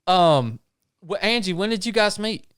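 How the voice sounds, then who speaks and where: A man's voice: sits high, animated, normal speed, clear, resonant tone, no room sound, studio quality. A man hosting a podcast, talking in an earnest, folksy, casual way and often tagging his sentences with "man". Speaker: podcast host. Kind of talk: casual interview chat.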